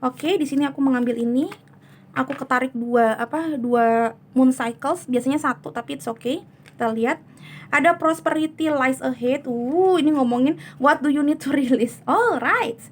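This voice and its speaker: A hoarse woman giving a chatty tarot reading, soothing and unhurried, often slipping into English words. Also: high-pitched, slight background noise. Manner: soothing, chatty, many fillers. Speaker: tarot reader.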